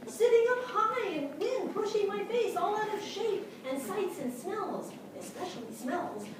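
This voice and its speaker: A woman voicing an excitable, boastful male dog who narrates a story in a theatrical stage reading, with expressive, punchy phrasing. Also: very high-pitched, voice pushed up, heavily acted. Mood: excited. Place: small theatre stage, live audience. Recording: echoey theatre from far off, noisy.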